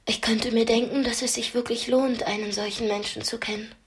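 An actress in a radio play as a brave, sharp-witted young captive, speaking directly and persuasively. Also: female voice, a little high, steady tone, brisk, close to an everyday voice. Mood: pleading, coaxing. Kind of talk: radio drama acting.

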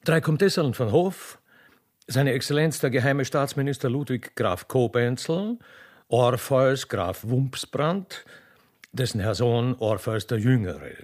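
A man's voice: medium pitch, animated, takes it slowly, a little mellow. A man narrating a radio play in a theatrical, mock-solemn and ceremonious way.

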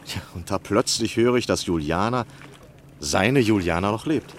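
Obsessed, charming man of letters, played by an actor, deep voice, earnest reflective delivery, vintage radio play with slight hiss. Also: normal speed, lightly acted. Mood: awed, hopeful. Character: obsessed, cunning American literary critic, earnest and charming. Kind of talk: 1960s German radio drama acting. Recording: faintly noisy 1960 radio recording.

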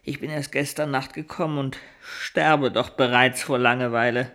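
A man's voice: medium pitch, steady tone, normal speed, fairly bright tone, close to an everyday voice. Casting: man as letter reader in radio drama, middle-range voice. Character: man reading a friend's letter aloud.

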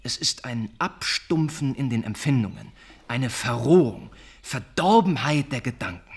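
An earnest young gentleman of a radio play, wounded and reflective. His voice is mid-pitched and husky, and he speaks haltingly, as if confessing something that pains him. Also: animated. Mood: grieving, earnest. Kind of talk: radio drama acting.

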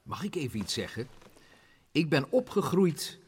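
A polite, formal man speaking up at a gathering. His mid-pitched voice swings very widely. The old radio drama has hall reverb and clear noise. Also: very animated, moderate pace. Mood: sympathetic. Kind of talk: Dutch radio play acting.

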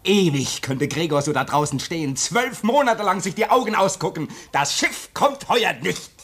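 A man's voice: sits high, animated, normal speed, a bit bright. An actor playing a restless, sarcastic younger man who is new to a polar station. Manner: sarcastic, agitated. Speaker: radio drama actor.

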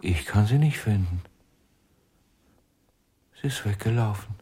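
An actor playing an anguished, broken ventriloquist in a radio crime drama, speaking haltingly in a breathy voice and repeating himself in desperation. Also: male voice, fairly low pitch, expressive, dark tone. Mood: broken, lost. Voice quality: husky, breathy. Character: anguished, broken male ventriloquist pleading with his puppet.

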